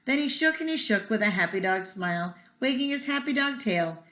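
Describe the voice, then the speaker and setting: A woman's voice: a little high, expressive, fairly quick, a bit bright. A woman reading a children's picture book aloud expressively, with a rhythmic delivery that draws out repeated words. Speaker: read-aloud storyteller. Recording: echoey room.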